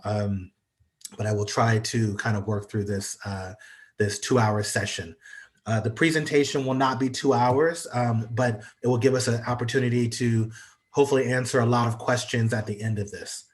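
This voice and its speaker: A man's voice: medium pitch, fairly even intonation, normal speed. Congested guy on a video call, lots of ums and uhs, walking folks through a presentation. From United States. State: congested from allergies. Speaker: guest speaker on entrepreneurship. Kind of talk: online presentation.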